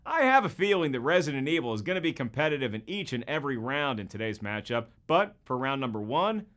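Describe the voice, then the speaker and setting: A man's voice: medium pitch, very animated, quick pace, fairly bright tone. A man with an American accent hosting a scripted comedic video essay in a jokey, emphatic presenter's delivery. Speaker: host.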